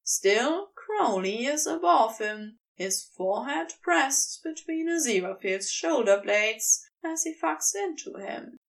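A woman reading scripted fiction aloud as an expressive story narrator. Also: high-pitched, slow pace, creaky, few pauses, far from the mic. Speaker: podfic reader.

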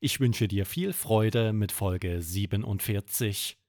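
A man narrating a scripted bedtime story for children as an expressive podcast storyteller. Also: fairly low pitch, slow, no room sound, clean studio sound.

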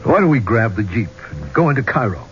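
A man's voice: lowish voice, very animated, a little fast, soft background music. An actor playing a brash, insistent younger man in a 1970s radio drama, talking in a blunt, persuasive way. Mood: low, coaxing. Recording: muffled, noisy old radio recording.

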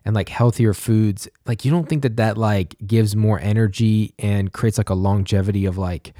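A man in his thirties with a mid-range voice, rambling through stories in halting, filler-heavy chat. His voice sits dry and close to the mic. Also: lowish voice, steady tone, mellow, dry recording. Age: 30s. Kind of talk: casual chat.

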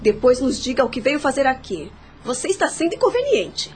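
A woman's voice: sits high, wildly swooping pitch, brisk, darkish tone. An actress playing an assertive, challenging dead woman with a Brazilian accent in a radio drama. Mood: challenging, firm. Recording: music under the voice.

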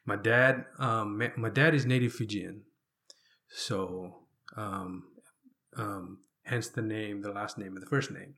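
A man from Fiji with a fairly low voice shares personal stories as an interview guest. He talks conversationally, often pausing and drawing out words as he gathers his thoughts. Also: steady tone, a bit bright. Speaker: interview guest telling his life story.

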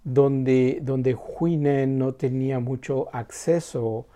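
Man, warm mellow tone, mid pitch, casual halting storytelling, lots of restarts. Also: steady tone. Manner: halting, many restarts and tag questions.

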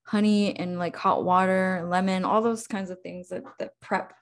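Woman, young adult, artist guest, chatty, animated, middle pitch. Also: moderate pace. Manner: chatty, lots of "like" fillers, animated. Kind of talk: casual interview chat.

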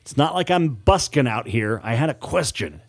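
A man voicing an impatient, quick-tempered woman investigator with a sarcastic edge in an audiobook. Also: medium pitch, sing-song, no room sound, clean studio sound. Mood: irritated.

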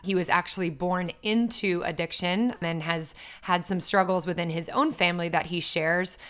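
A woman's voice: lowish voice, steady tone, normal speed, a bit bright. A woman hosting a podcast, upbeat and chatty, with plenty of filler words as she introduces and questions a guest. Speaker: podcast host. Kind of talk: casual podcast interview.

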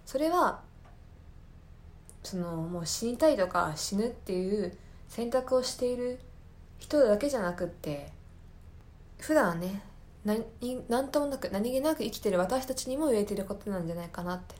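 A woman hosting a casual solo radio show, speaking earnestly and often pausing to search for words and rephrase. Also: medium pitch, animated, a little mellow. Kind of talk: casual monologue, recommending a favorite film to listeners. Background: background music.

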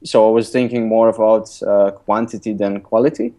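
A young man from Lithuania, speaking English as a second language, answers questions at a hesitant pace, full of fillers and restarts. His voice sits low with a flat, even pitch and a dark, mellow tone. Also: moderate pace. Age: young adult. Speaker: guest, app designer and author. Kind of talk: casual interview talk. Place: recording remotely from abroad.

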